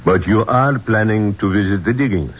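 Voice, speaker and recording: An actor playing a formal, probing police inspector in a 1970s radio drama, questioning in a measured way. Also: male voice, lowish voice, lightly acted. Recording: dull, noisy 1970s radio recording.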